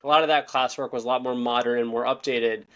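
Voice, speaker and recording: A man's voice: mid-range voice, steady tone, fairly bright tone. A young man in his twenties from Israel, chatting casually as a podcast guest, rambling and correcting himself as he goes. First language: Russian. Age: 20s. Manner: fast, rambling, self-correcting. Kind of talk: casual interview talk.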